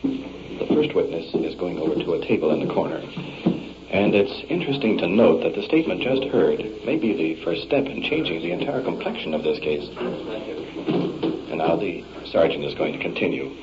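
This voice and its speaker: Male documentary reporter, very low register with lively swings, on a dull old radio recording. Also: moderate pace, a loud crowd.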